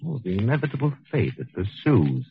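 An actor playing a cold, arrogant intellectual murderer in a 1940s radio thriller, speaking in a pompous, measured, condescending way. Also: male voice, mid-range voice, big pitch swings, gravelly, slight background noise. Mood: sinister, insinuating. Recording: dull 1940s radio recording.

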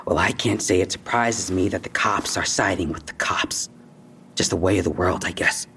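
An actor playing a defensive young robbery suspect in an audio drama, with husky, slangy, evasive talk and tough posturing over fear. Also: male voice, low-pitched, normal speed, bright, forceful tone, a little hiss or hum. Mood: bitter, resentful. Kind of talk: naturalistic audio drama acting.

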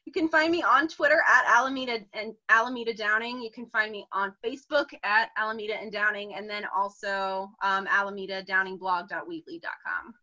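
Bright chatty woman guest. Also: mid-range voice, fairly even intonation, a little fast, few pauses, highs cut off. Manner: chatty, frequent "like" fillers, laughs easily. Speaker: podcast guest. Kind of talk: casual podcast chat.

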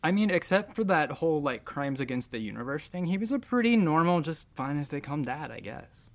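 Gravelly, wisecracking troubled space captain. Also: male voice, a little high, very animated, fairly quick. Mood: wistful, hurt. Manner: rambling, self-deprecating, sardonic. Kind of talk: naturalistic audio drama dialogue.

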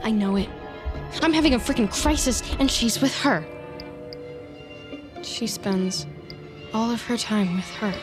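A woman's voice: a little high, close to an everyday voice. An actress playing an anxious, scheming woman in an audio drama, sardonic and dramatic, often talking to herself. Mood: exasperated. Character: Nancy, anxious, scheming, vindictive woman in crisis. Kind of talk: scripted fiction podcast acting, monologue and dialogue.

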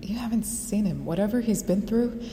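An actress playing a persistent government official who presses her case in a measured, insistent way. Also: mid-range voice, dark tone, natural voice. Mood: persuasive. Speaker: official recruiting the brother. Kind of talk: audio drama acting. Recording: long reverb with score behind.

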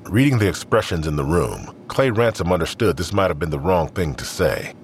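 A man with a low voice narrates a scripted crime drama. His pitch moves expressively as he tells the story, and a faint ambience sits behind him. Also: lively intonation, fairly quick, slight background noise.

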